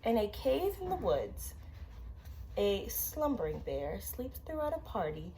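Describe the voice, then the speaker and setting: A woman reading a children's story aloud with dramatic, drawn-out emphasis. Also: medium pitch, big pitch swings, mellow, slight background noise, poor audio. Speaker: storybook reader. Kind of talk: expressive children's read-aloud.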